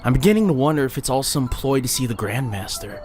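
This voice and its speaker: A man's voice: medium pitch, expressive, fast talker, a little mellow, voice pushed up, lightly acted. A suspicious castle guard, played by a male narrator, sarcastic and challenging in a fantasy audiobook. The voice sits mid-range, with faint music underneath. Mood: scornful.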